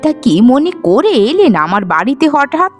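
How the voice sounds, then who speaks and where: A woman voicing a middle-aged village mother in a cartoon, expressive and pleading. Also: high voice, moderate pace, soft background music. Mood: surprised, delighted. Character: anxious, pleading village mother of twin daughters. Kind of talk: animated moral-tale voice acting.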